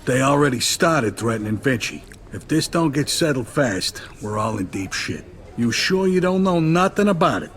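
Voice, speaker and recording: Middle-aged American mob lieutenant, medium pitch, grave, wary, profane, video game drama. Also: male voice, sing-song, moderate pace, fairly bright tone. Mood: grave, probing.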